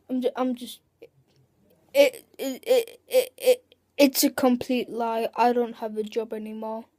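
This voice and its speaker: High-voiced young woman rambling in a comedy sketch. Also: dark tone. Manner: rambling, halting, many fillers and restarts. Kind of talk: comedic sketch monologue in character.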